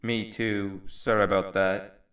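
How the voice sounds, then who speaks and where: A man's voice: on the low side, level tone, a little slow, fairly bright tone, far from the mic. A man voicing a friendly, easygoing creature companion in a video game, talking in a casual, kindly way. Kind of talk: video game character acting.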